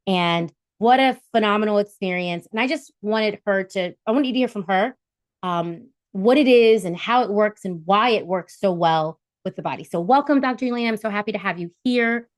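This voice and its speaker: A woman's voice: medium pitch, moderate pace, no echo. A woman in her late forties talks through a personal story as she opens an interview, loose and chatty with plenty of ums. Her voice sits in a middle range and sounds close and dry, with no room around it. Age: 40s. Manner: chatty, warm storytelling with fillers. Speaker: host. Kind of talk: casual interview chat.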